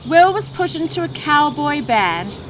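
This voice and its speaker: A woman's voice: sits high, big pitch swings, measured pace, a bit bright, low-quality recording. A woman narrating a children's story expressively, putting questions to the listener. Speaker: storybook reader. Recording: outdoor background noise with birdsong. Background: birdsong.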